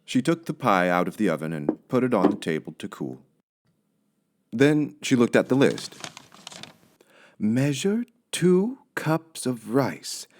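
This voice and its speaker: A man's voice: on the low side, sing-song, moderate pace, background noise. A man narrating a children's story from a script as an audiobook reader.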